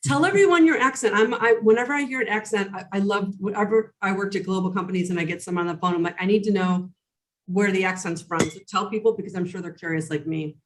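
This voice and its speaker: A woman's voice: mid-range voice, quick pace, low-quality recording. A woman hosting a podcast interview over a video call, bubbly and enthusiastic, rambling with fillers. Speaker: podcast host. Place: Chicago.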